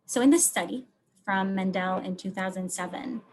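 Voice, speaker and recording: A woman from Lebanon presents research as an academic, in a mid-range voice. She explains things in short, measured phrases. Key words get clear emphasis as she walks through her slides. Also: medium pitch, poor audio.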